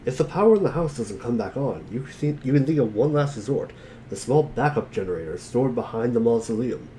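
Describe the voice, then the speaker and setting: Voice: mid-range voice, expressive, brisk, mellow, slight background noise. A narrator reading a second-person story aloud in a dramatic reading.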